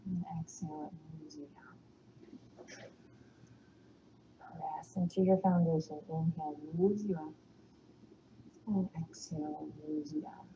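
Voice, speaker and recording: Yoga teacher, woman, slight Texan lilt, calm and soothing, low-pitched and very mellow, on a slightly dull recording. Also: slow pace, long pauses, slight background noise. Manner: calm, soothing cues, breathy whispered exhales. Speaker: yoga instructor. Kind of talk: guided yoga class.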